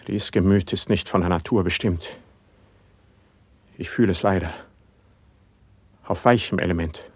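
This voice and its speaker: Brooding, low-voiced poet. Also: male voice, a little mellow, slightly rough, no room sound, exaggerated voice. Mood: earnest, restless. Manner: declaimed, fervent stage diction. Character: sensitive, brooding young male poet, melancholy and driven. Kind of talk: German classical verse radio drama.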